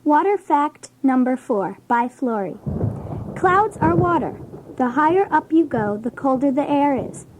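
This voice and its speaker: A woman voicing an excitable, expressive schoolchild in a children's read-along story. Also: sits high, unhurried, noisy background. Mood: eager reciting.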